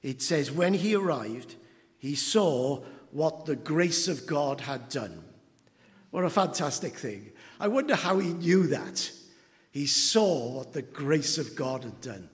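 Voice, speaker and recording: A man, preaching an anniversary sermon as pastor, halting with fillers and building to emphatic moments. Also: fairly high pitch, lively intonation. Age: 70s. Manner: slow, halting with many fillers, rising to emphatic. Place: church hall. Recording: long church reverb.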